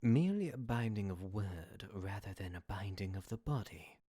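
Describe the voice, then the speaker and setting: Suave scheming demon, played by a man, husky and unhurried, with swooping delivery for a podcast drama. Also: on the low side, animated, mellow, no room sound. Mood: coaxing. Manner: slow, insinuating, formal. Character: suave tempting male demon, courteous and scheming. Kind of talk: podcast audio drama acting. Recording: dry amateur home recording.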